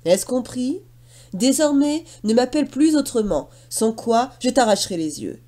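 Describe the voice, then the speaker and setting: Woman putting on a pompous, high-voiced peacock. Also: lively intonation, moderate pace, warm tone. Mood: haughty. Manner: pompous, declaiming. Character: vain, haughty male peacock, proud and threatening. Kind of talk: children's storybook character voice.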